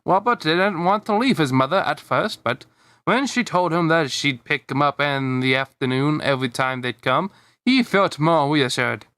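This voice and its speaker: A man's voice: fairly high pitch, a bit bright, clear, resonant tone, no echo, studio quality. A man reading fan fiction aloud casually, stumbling and breaking into joking, giggly asides. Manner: stumbling, giggly, mocking the text as he reads.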